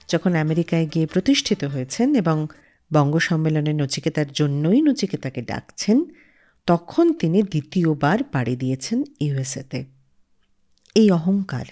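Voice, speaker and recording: A lively, expressive woman hosts a radio show. Her voice is on the darker, mellow side and swings widely in pitch as she narrates with emphasis and dramatic pauses. Soft music plays faintly behind her. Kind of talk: scripted radio storytelling narration. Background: faint background music.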